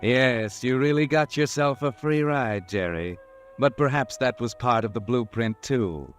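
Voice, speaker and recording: A man acts out a mysterious, all-knowing narrator who hovers over the story of an old radio mystery, addressing its doomed hero directly. His voice sits at a middling pitch, sardonic and intimate. Faint music plays behind. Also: measured pace, natural voice. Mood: knowing, mocking. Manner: sardonic, speaks to the hero in second person. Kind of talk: 1940s radio drama narration.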